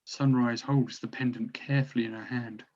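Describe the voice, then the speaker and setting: A man's voice: mid-range voice, little pitch movement, moderate pace, a little mellow, muffled recording. A man narrating stage directions from a script at a drama table read.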